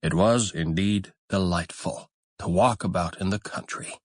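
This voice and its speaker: A man narrating a fairy tale as a scripted audiobook, in a measured, expressive storytelling manner. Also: low voice, no echo, clean studio sound.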